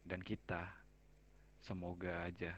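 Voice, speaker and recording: A man speaking formally in character in a roleplay game, slow and halting, sometimes sinking to a near whisper. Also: low-pitched, completely flat delivery, muffled recording. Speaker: roleplay company founder. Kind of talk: in-character roleplay speech over voice chat.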